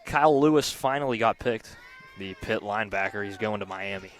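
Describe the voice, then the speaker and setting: A young man giving conversational color commentary on a live softball broadcast. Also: fairly low pitch, brisk, a little hiss or hum. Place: outdoors at a softball field.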